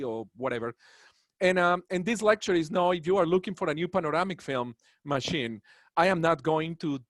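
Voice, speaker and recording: Man, Colombian Spanish accent, somewhat high voice, earnest lecturer, webinar talk. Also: no echo. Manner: earnest, proud, rambling asides. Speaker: dental professor.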